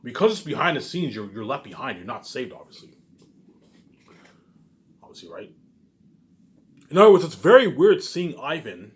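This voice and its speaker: A man's voice: on the high side, very animated, moderate pace, long pauses, a little hiss or hum. A man in a casual, rambling monologue, boastful and repetitive, emphatic and swearing freely as he leans on the same catchphrases. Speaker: online video creator.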